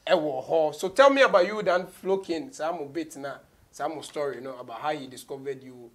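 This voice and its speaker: Higher-voiced Ghanaian male interviewer. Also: moderate pace. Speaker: radio show host, interviewer. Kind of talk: casual interview chat, mixing English and Twi. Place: small radio studio office, seated at a desk.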